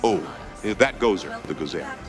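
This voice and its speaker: An actor playing a down-to-earth, skeptical ghost hunter in a motion comic, dry and plain-spoken. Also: male voice, low voice, expressive, a little fast. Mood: weary, dry. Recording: loud music behind.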